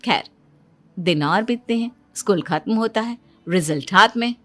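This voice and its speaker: A woman's voice: mid-range voice, normal speed. A woman telling a story in a dramatic, wry narration that speaks straight to listeners. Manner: wry, addresses listeners directly.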